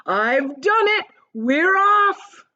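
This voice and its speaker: A woman voicing a boisterous, excitable male centipede from a storybook, exclaiming theatrically. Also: very high voice, extremely slow, pitched above the performer's own voice, exaggerated voice. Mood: triumphant. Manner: exclaiming, theatrical, reciting nonsense verse. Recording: dull, lo-fi sound.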